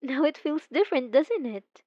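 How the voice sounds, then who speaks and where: A woman playing an obsessive, possessive young stalker in an ASMR roleplay, talking softly and intimately with teasing giggles. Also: high voice, expressive, moderate pace, dry recording, dull audio. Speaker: narrator speaking to the listener.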